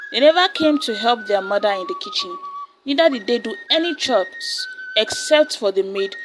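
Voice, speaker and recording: A woman narrating a folktale in a steady, plain storytelling manner. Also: fairly high pitch, normal speed. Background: soft music.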